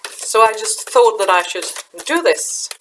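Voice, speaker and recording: A middle-aged woman from Denmark with a Danish accent, speaking English softly at her webcam, halting and repeating words as she gropes for them. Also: medium pitch, lively intonation, slight background noise, rough recording. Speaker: spiritual channeler. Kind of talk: casual spiritual vlog talk. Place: small room indoors. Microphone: webcam in front of her.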